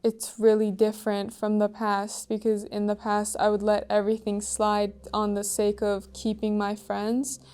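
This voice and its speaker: A teenage girl from Iran answering interview questions in an earnest, soft-spoken way, with frequent "like" and "um" fillers. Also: medium pitch, monotone, moderate pace, hardly any pauses, clean tone. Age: teens.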